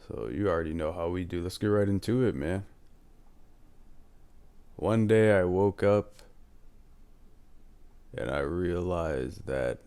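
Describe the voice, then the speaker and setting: A man's voice: low voice, slow, long pauses, darkish tone, clear, resonant tone. A man in his twenties talking casually and candidly, giving life advice and often adding "man". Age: 20s. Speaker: advice channel host.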